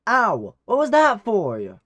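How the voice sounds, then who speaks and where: A man playing a boisterous big guy, wildly animated. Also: sits high, slow, a little hiss or hum, heavily acted. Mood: impatient, grumbling. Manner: loud, exuberant, grumbling. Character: boisterous, impatient big guy, hungry party-lover. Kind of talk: fantasy audio drama acting.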